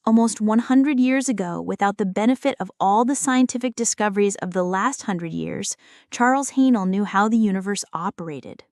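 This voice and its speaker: A woman narrates an audiobook at a medium pitch, with each phrase measured and clearly enunciated. The delivery is explanatory and scripted, and the sound is very dry, with no room around it. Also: a little mellow, no echo.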